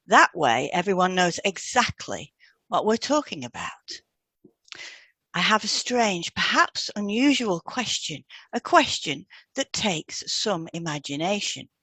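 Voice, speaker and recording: A woman co-hosting a podcast as a hypnotherapist, reading a script with measured, deliberate phrasing. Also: lowish voice, big pitch swings, bright, forceful tone, breathy edge, dry recording.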